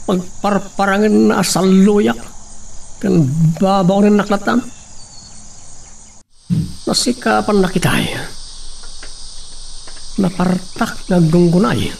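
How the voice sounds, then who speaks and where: A menacing henchman, acted by a man in a radio drama, with a high voice full of creak. He taunts and threatens with a hot temper. The sound is distant, with some background noise. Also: slow, long pauses, darkish tone, heavily acted. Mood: brooding. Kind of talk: Ilocano radio drama acting.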